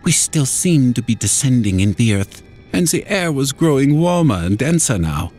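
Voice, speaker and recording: Man narrating horror, highly animated pitch. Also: normal speed. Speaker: story narrator. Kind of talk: dramatic scripted horror narration in first person. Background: music.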